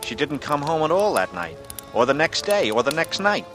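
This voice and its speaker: A man's voice: on the high side, sing-song, moderate pace. An actor playing a haunted, intense man in a 1940s radio thriller, brooding and dramatic in manner. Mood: brooding narration. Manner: brooding, dramatic, swinging into anguished outbursts. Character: haunted, intense male lover and war veteran. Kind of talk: 1940s radio drama acting with first-person narration. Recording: vintage radio recording with music.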